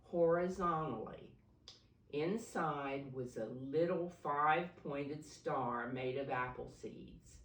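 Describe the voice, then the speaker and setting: Slow, low-voiced woman reading to preschoolers. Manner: simple questions and explanations to small children. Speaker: preschool storybook reader, teacher figure. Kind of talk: read-aloud picture book for preschoolers. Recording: roomy echo, distant mic.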